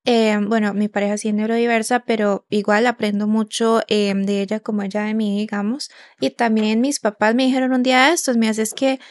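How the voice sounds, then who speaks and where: A young woman raised in Canada, chatting casually on a podcast as co-host, with lots of fillers and quick laughs. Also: a little high, flat delivery, no room sound.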